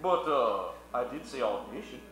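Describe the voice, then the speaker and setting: A man playing a sly, mysterious underworld caller, coy and halting, in a comic old-time radio play. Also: mid-range voice, lively intonation, a bit bright, soft background music, lightly acted. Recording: echoey room with hum.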